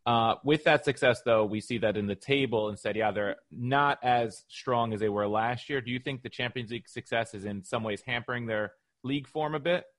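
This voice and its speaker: A man's voice: mid-range voice, brisk, hardly any pauses, a bit bright, no echo. A man hosting a conversational sports podcast, interviewing a guest. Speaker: podcast host.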